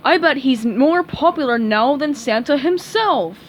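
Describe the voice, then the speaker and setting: A woman reading a storybook aloud, voicing a greedy, jolly old male crab who runs a restaurant, with a gruff pirate-like bluster. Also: sits high, animated, a little slow, a little hiss or hum, pitched above the performer's own voice. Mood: pleased.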